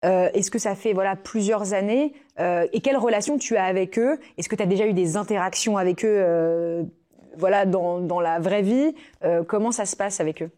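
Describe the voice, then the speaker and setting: A woman's voice: mid-range voice, moderate pace, mellow. A woman hosting a podcast interview, asking probing questions in a conversational way. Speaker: podcast host.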